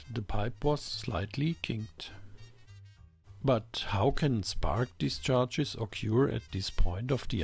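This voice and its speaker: A man presenting a technical explainer in a measured, instructional way, reading scripted narration. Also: medium pitch, vocal fry, a little mellow, slightly rough. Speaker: presenter of an electronics channel.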